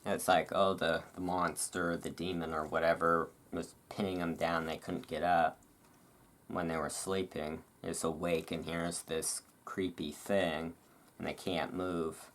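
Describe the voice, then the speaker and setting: Low, unhurried voice reading aloud. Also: little pitch movement, slight background noise. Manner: informal, chatty, musing asides between read passages. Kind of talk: relaxed ASMR-style reading of vampire history with casual asides.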